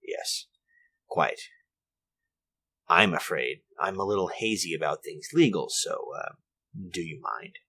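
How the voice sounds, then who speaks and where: Genteel, hesitant old inventor, low-voiced. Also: male voice, animated, unhurried, long pauses, bright, forceful tone, breathy edge, heavily acted. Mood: polite, hesitant. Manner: hesitant, genteel, rambling, formal. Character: elderly, courteous infirm inventor of artificial limbs. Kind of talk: retro 1940s radio drama acting, monologue.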